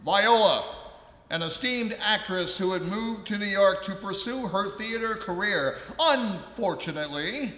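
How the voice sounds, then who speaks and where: A man playing a theatrical 1920s actor who narrates a comic live radio play in a declamatory way with deliberate pauses. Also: sits high, expressive, sharp tone, exaggerated voice. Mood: mock-grand. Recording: live in echoey ballroom, long reverb.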